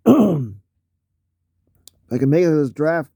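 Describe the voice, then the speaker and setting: A man losing his voice, talking casually through a solo mock draft, halting with fillers and often clearing his throat. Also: on the high side, big pitch swings, dark tone, no echo, studio quality.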